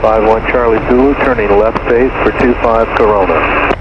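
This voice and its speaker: Male pilot, short radio calls, dull sound with loud noise. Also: lowish voice, fairly even intonation, moderate pace. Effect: aircraft radio. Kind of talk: terse aviation radio calls. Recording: muffled aircraft radio with engine noise.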